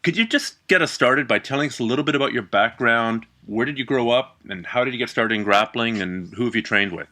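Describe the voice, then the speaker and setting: A man from Canada hosting an interview podcast, enthusiastic and quick to laugh. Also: medium pitch, sharp tone. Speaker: podcast host.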